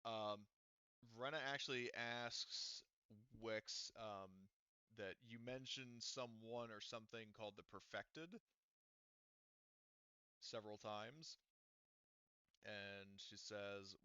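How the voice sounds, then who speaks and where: Male game master, halting casual narration, smooth clear tone, dry and close on the mic. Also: lowish voice, bright, forceful tone, dry recording. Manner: rambling, filler-heavy, halting. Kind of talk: running a tabletop roleplaying game. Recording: dull, lo-fi.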